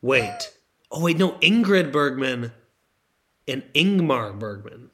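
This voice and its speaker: A man's voice: mid-range voice, very animated, slow, bright, forceful tone. A young man co-hosting a casual comedic podcast, rambling and joking, often trailing off mid-thought, talking into a handheld microphone. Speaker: podcast co-host. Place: in France, apart from co-host.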